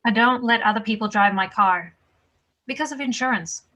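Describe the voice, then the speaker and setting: A woman's voice: a little high, normal speed, pushed and bright, muffled recording. A woman reading the part of a guarded, dryly practical nurse in a drama script table read.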